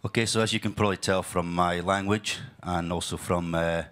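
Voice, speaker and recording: A man from the UK, speaking at a conference in a deep, low voice. His delivery is loose and unscripted, full of fillers, repeated phrases and restarts. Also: fairly even intonation, fairly quick, fairly bright tone. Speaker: conference speaker, sales leader. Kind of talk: conference presentation.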